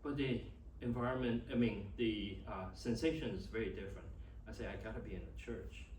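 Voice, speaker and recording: A middle-aged man with a Taiwanese accent and Chinese as his first language, giving an informal, halting talk as a class instructor. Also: fairly low pitch, a little mellow. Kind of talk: informal teaching and personal introduction. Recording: echoey room, distant mic, faint noise.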